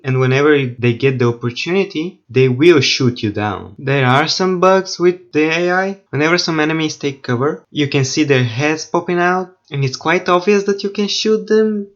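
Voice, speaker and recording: A man giving a casual, opinionated video game review in an informal, mildly profane way. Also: fairly high pitch. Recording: dull, lo-fi microphone sound.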